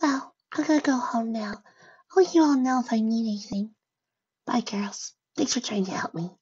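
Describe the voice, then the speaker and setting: A woman voicing a timid, dejected female bat pony in a soft, breathy, unsteady voice for a story reading. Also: on the high side, normal speed, voice pushed up. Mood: resigned, sad. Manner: unsteady, sighing, meek. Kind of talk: fan fiction story reading. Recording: dull lo-fi recording.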